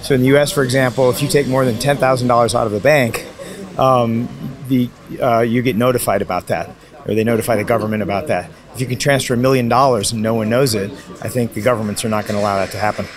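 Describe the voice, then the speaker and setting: An older executive speaks briskly and confidently into a handheld mic outdoors at night. The chatter of a crowd fills the street behind him. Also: male voice, mid-range voice, steady tone. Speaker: business executive. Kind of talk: street interview. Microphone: handheld mic held by interviewer.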